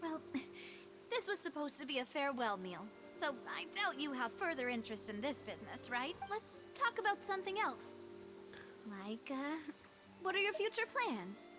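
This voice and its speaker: A woman's voice: high voice, sing-song, moderate pace. An actress voicing a poised, polite young woman leader in an anime video game, articulate and earnest. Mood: gentle, polite. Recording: game music behind.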